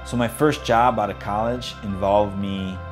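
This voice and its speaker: A man's voice: fairly low pitch, steady tone, a little slow. A man with an American accent from Chicago, recounting his community organizing as a candidate. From Chicago, United States. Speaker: city council candidate, organizer. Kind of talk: personal testimony in a campaign video. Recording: clear music behind voice.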